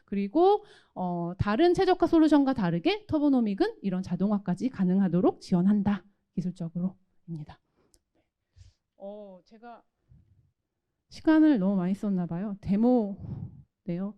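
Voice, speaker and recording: Woman presenting tech, lively swooping intonation. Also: mid-range voice, measured pace, lo-fi sound. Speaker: tech product presenter from a company's automation division. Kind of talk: product presentation with demo.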